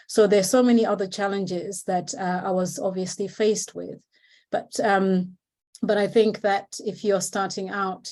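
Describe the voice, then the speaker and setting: A woman's voice: mid-range voice, a little mellow. Hesitant, encouraging woman on a video call. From Zimbabwe. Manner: hesitant, many fillers and restarts, encouraging. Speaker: researcher speaking at seminar. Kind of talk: informal remarks at an online seminar.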